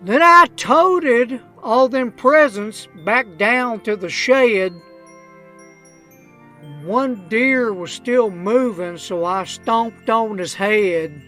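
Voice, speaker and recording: A woman voicing a crusty, wryly unrepentant old redneck man, telling a comic rhymed storybook tale in a folksy, drawn-out way. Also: a little high, bright, forceful tone, soft background music, exaggerated voice. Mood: matter-of-fact, sly. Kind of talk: comedic rhymed storybook narration.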